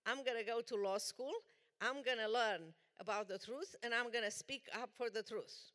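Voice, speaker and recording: A woman from Romania with a Romanian accent, giving an earnest, deliberate personal testimony as a guest speaker in a church. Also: on the high side, a bit bright. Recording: long church hall reverb.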